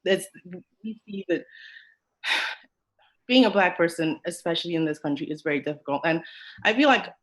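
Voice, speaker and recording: A woman's voice: fairly low pitch, expressive, normal speed, long pauses, a bit bright, low-quality recording. Emphatic woman. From Kenya. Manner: emotional, emphatic. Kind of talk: earnest discussion.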